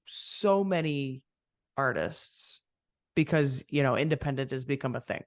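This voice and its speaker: Male podcast host, mid-range gravelly voice, reflective and rambling, dry close mic. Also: mid-range voice, a little slow, dry recording. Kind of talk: casual interview chat.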